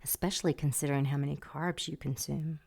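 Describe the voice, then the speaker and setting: A woman's voice: deep voice, fairly even intonation, fast, gravelly, a little mellow, husky, exaggerated voice. A woman playing a sultry, flirtatious femme fatale in an audio drama, with a velvety, teasing purr. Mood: seductive. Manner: purring, playful seduction.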